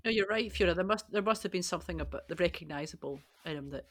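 A woman's voice: on the low side, fast talker, slightly rough. A woman chatting casually as a guest on a podcast. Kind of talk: casual chat.

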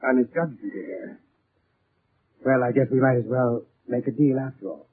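A husky schemer played by a man in an old radio crime drama, wordy and calculating, a coward underneath. His phrasing is formal, and the recording is muffled and narrow like an old broadcast. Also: mid-range voice, moderate pace, close to an everyday voice. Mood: smug. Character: scheming male criminal, wordy, cowardly when cornered. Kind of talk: 1940s radio drama acting.

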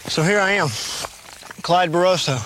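A man's voice: high-pitched, fairly even intonation, normal speed, fairly bright tone, slight background noise, rough recording. A man giving a folksy first-person testimonial in a radio advertisement, in plain-spoken style. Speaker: oil company field worker in an advertisement.

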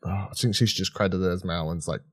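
A man's voice: sits low, fairly even intonation, quick pace, breathy edge, dry recording, studio quality. A man co-hosting a podcast, giving casual film reviews in a rambling, informal, sweary way. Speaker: podcast co-host reviewing films.

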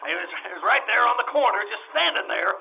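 Man acting a high-pitched, flustered, stammering animal-show owner. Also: expressive, fast, creaky, sharp tone, dull audio, heavily acted. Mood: flustered, chatty. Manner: stammering, repeats himself, rambling. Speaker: stage actor in radio troupe. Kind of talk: old-time radio drama acting. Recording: faint noise, live radio re-enactment.

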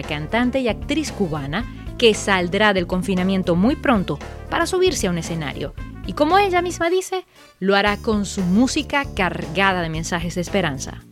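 A woman presenting and interviewing on a radio-style show, reading a polished introduction and then asking questions. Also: mid-range voice. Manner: polished, expressive presenter delivery.

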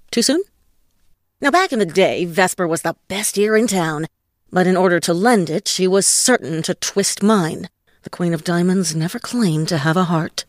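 A woman playing a hard-boiled, wisecracking private detective in a noir comedy audio drama, deadpan and sarcastic. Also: lowish voice, dry recording, lightly acted. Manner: deadpan, sarcastic, pun-laden noir delivery.

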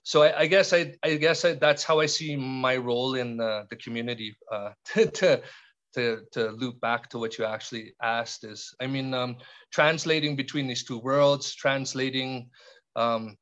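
A middle-aged man from Canada, a podcast guest speaking in a reflective, halting way with frequent restarts and fillers. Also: mid-range voice, fairly even intonation, a bit bright. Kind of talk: reflective conversational interview.

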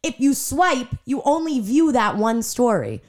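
A woman in her twenties with a raspy voice, animated and defensive as she chats on a podcast. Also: fairly high pitch, a little slow. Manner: animated, defensive, laughs often. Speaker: podcast co-host. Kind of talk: casual chat.

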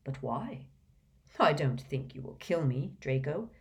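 A woman voicing a gentle, calm old male wizard headmaster who speaks softly and mildly. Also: sits low, full character voice. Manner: soft, mild, unhurried.

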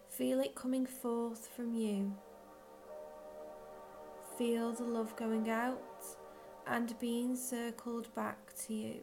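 Slow, higher-voiced woman guiding a meditation. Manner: measured, gentle phrasing with long pauses. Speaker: guided meditation leader. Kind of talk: guided visualisation, slow scripted narration.

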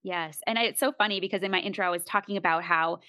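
A woman's voice: lowish voice, quick pace, bright, forceful tone, no echo. Upbeat woman podcast host, dry mic. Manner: upbeat, motivational, chatty with fillers. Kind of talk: podcast intro and interview.

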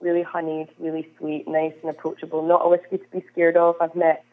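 A woman's voice: low-pitched, monotone, fairly quick. Scottish woman on a tinny phone line. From Scotland, Isle of Lewis. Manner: friendly, chatty, frequent "um"s. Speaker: whisky brand ambassador, guest. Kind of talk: conversational interview answers. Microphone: phone call.

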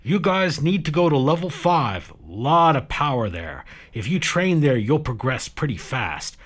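Male narrator as assured, breezy baron: raised pitch, casual clipped advice, audiobook fiction. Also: sing-song, a little slow, fairly bright tone, heavily acted. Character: confident, breezy self-styled baron giving advice.

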